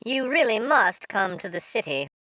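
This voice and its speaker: A man's voice: high voice, big pitch swings, moderate pace, sharp tone, no echo, clean studio sound, voice pushed up, full character voice. A man voicing a refined, proud city mouse in a posh, affected way for a children's storybook. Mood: snooty, sniffing.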